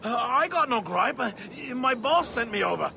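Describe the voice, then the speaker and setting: An actor playing a surly street gang lackey in a video game, with a gravelly, creaky voice. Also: male voice, extremely high pitch, sing-song, normal speed, pushed and bright, a little hiss or hum, heavily acted. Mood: cowed. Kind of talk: video game cutscene acting.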